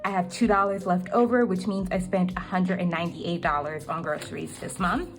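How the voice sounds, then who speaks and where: A woman's voice: lowish voice, fairly even intonation, brisk, music in the background. A young woman talking through her monthly budget in a casual vlog, chatty and full of ums, her voice a little cracked. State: slightly cracking voice. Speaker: budgeting vlogger.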